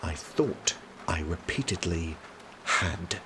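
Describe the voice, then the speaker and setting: A man's voice: sits low, expressive, takes it slowly, noisy background. A man playing a stern, protective prince in an audio drama, speaking in a formal, clipped, commanding way. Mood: exasperated, scolding.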